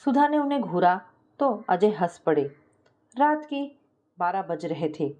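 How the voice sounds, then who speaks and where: A woman storyteller reads a scripted domestic tale. Her voice is mellow and gentle on the ear, at an average female pitch. She voices the dialogue within her narration. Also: very animated, a little slow.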